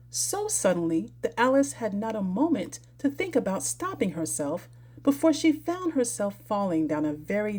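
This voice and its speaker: A woman narrating a classic children's story aloud in an expressive storyteller's style. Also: medium pitch, breathy edge.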